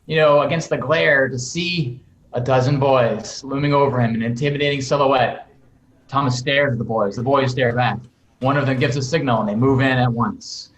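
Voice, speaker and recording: A man's voice: medium pitch, a bit bright. Dramatic male narrator in a roomy space. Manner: dramatic, emphatic storytelling. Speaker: film director reading stage directions. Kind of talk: scripted narration read aloud. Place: indoors, on a video call. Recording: roomy video call sound.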